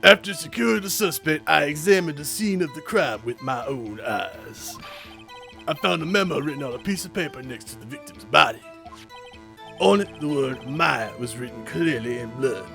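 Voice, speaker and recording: A man's voice: on the high side, animated, moderate pace, creaky, over music. A man voicing a blustering, easily flustered police detective in a video game, stammering and folksy. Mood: boastful. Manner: stammering, folksy, says pal.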